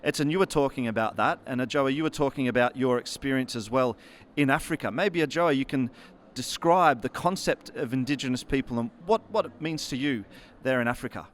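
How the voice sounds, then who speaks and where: A man's voice: mid-range voice, fairly quick, a little hiss or hum. A man moderating a panel, introducing guests and asking questions in a friendly, conversational way. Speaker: moderator, host.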